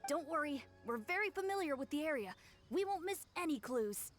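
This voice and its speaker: A woman's voice: high voice, animated, fairly quick. A woman voicing a polite, earnest and slightly timid youngster in a video game, with music behind. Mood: eager.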